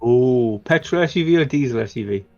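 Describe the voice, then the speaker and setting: Gravelly man planning moves in a game. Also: medium pitch, lively intonation, fairly quick, darkish tone, lo-fi sound. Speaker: tabletop game player. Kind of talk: casual game planning chat.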